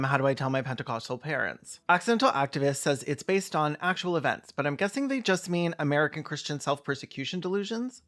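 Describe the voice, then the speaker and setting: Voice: medium pitch, fairly bright tone. A host giving comedic reaction commentary on a film in a campy, sarcastic way.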